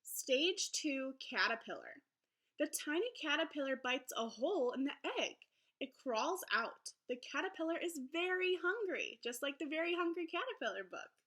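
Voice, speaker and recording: A woman teacher reading aloud to young children in an encouraging, expressive storybook manner. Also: high-pitched, normal speed. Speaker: elementary school teacher. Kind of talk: children's read-aloud lesson.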